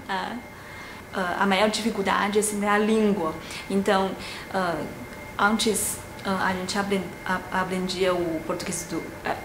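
A woman in her late twenties from China, with a Chinese accent and Mandarin as her first language. She answers interview questions haltingly, filling pauses with many "ah" sounds as she searches for words. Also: medium pitch, noisy background. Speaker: graduate student and language teacher. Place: indoor office room with a globe and plants. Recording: roomy with audible background ambience.

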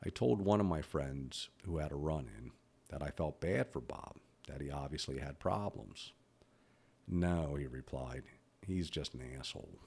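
A man's voice: extremely low pitch, expressive, unhurried, creaky, a little mellow, slightly rough. A man in his fifties who stutters, talking bluntly and candidly about his own life as a podcast host. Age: 50s. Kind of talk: personal reflective monologue.

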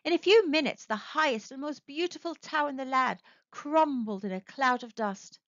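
A woman narrating a children's storybook aloud with expressive, animated storytelling. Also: high voice, moderate pace, no room sound. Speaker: storybook reader. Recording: dry, dull lo-fi sound.

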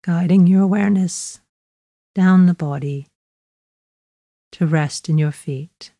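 A woman guiding a body scan meditation in a slow, measured, gently instructive way, leaving long pauses. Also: lowish voice, dry recording, clean studio sound. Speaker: mindfulness meditation guide.

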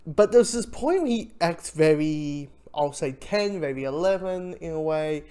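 A man giving an informal spoken review, talking in a rambling, halting way with frequent asides. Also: on the high side, animated, mellow, clear, resonant tone. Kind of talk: informal audio drama review commentary.

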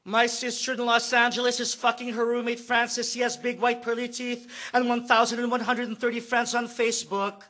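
A man in his thirties performs spoken word poetry from a stand mic on a theater stage. His voice sits high and sounds bright and forceful. He shifts from a frantic comic rant to a hushed, lyrical lament. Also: flat delivery, a little fast, few pauses. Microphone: stand mic, far camera.

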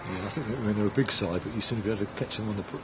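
Male reporter, rapid and low, chatty leading questions, audible outdoor traffic. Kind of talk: post-match questions.

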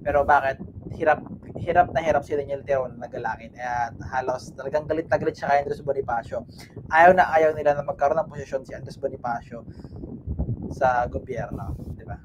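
A man's voice: mid-range voice, a little fast. A young man with a Filipino accent giving a class report on a video call, talking casually with many fillers and tag questions. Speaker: student presenter. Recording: video call audio with background noise.

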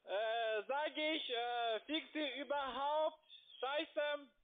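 A man with a foreign accent speaks in a very high voice at a slow, halting pace. His talk is crude. The sound is a narrow phone line. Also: fairly even intonation. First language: not German. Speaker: abusive phone caller.

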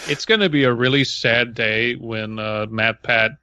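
A man's voice: medium pitch, unhurried, bright, forceful tone, clean tone. A man co-hosting a podcast, switching between casual joking banter and reading a story aloud. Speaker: podcast co-host.

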